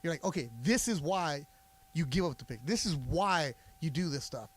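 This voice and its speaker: Guy on sports radio breaking down bets and hoops, bit high voice, sure of himself. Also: expressive, moderate pace, breathy edge. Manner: confident, explanatory, talks at length. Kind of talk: sports talk radio analysis. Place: radio studio. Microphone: studio mic.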